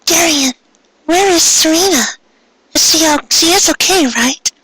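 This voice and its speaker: A man voicing distraught teenage girls from a fan fiction story in a wailing, pleading falsetto. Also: unhurried, bright, forceful tone, no echo, heavily acted, rough recording. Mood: anxious, fearful. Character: distraught teenage girls, tearful, melodramatic heroines. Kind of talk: over-the-top fan fiction dramatic reading.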